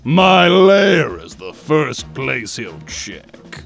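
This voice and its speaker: Aging cartoon mastermind played by a man: theatrical, self-important, gravelly delivery, music underneath. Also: mid-range voice, big pitch swings, drawn-out words, a bit bright, full character voice. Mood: smug, scheming. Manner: theatrical, grandiose. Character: pompous aging male evil genius villain. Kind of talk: comic dub voice acting.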